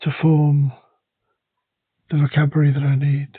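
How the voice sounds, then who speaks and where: A man who speaks English and Welsh, talking in a hesitant, halting way with many restarts in a casual conversation. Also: a little high, monotone, breathy edge, no echo. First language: English and Welsh bilingual. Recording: dry, phone-like narrow sound.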